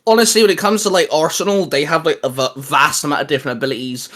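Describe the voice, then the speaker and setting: An excitable young man chats casually on a podcast. His voice sits a little high for a man. He laughs a lot and swears without a second thought. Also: quick pace. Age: young adult. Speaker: co-host.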